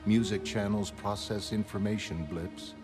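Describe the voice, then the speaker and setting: A low-pitched male actor's mastermind, aloof and brilliant, speaks in measured, learned phrases over prominent music. He sounds formal and utterly self-assured, in the manner of a motion comic villain. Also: fairly even intonation, lightly acted. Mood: detached, musing. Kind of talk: scripted dramatic monologue in a motion comic.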